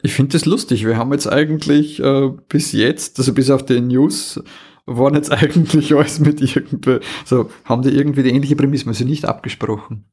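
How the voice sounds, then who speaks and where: A man's voice: mid-range voice, brisk, breathy edge. Rambling Austrian man chatting casually. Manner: excitable, rambling, repeats words. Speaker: podcast co-host. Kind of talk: casual chat.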